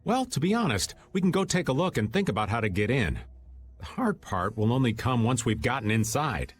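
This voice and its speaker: Man voicing wry, wary game adventurer, lively intonation. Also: medium pitch, fairly quick, lightly acted. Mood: pondering. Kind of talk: video game cutscene voice acting.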